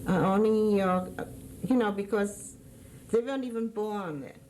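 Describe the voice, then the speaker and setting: A woman in her sixties with a German accent, born in Germany, recalling her past in an oral history interview, often pausing and searching for words. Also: medium pitch, darkish tone, slight background noise, low-quality recording.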